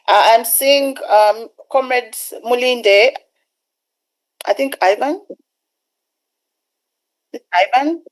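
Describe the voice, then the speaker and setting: A woman with a somewhat high voice chairs an online meeting. She calls on speakers in turn, politely and in an organized way, with a few fillers. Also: very slow, long pauses, poor audio. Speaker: meeting host. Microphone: video call.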